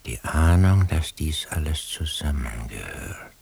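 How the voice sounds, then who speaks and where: An actor playing a haunted, pensive man in a radio drama, musing haltingly in a husky, gravelly, hushed voice. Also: extremely low pitch, lively intonation, exaggerated voice. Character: haunted, pensive man straining after faint impressions. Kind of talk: radio drama interior monologue.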